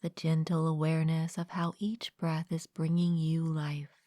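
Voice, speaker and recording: A woman leading a guided meditation as a mindfulness coach, speaking gently and calmly in a soft, breathy, partly whispered voice, close to the mic. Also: sits low, no echo. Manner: gentle, calm, unhurried with long pauses. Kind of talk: guided meditation, soothing scripted narration.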